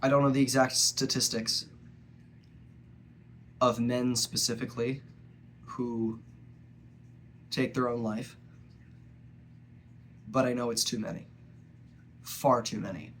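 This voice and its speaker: A man's voice: medium pitch, level tone, a bit bright, a little hiss or hum. Earnest young man with a stuffy nose. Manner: earnest, halting, with frequent pauses. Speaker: vlogger. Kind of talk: personal reflective monologue.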